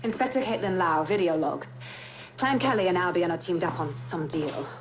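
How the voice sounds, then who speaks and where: An actress playing a grave, determined police inspector in a game, recording a video log in measured, clipped phrases. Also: female voice, fairly low pitch, animated, background noise, lightly acted. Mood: grim, factual.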